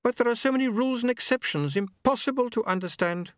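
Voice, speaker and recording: A man's voice: very high voice, fast, no room sound, clean studio sound, voice pushed up. A man voicing a prim, literal-minded aristocratic lady who talks in formal, precise phrases. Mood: earnest.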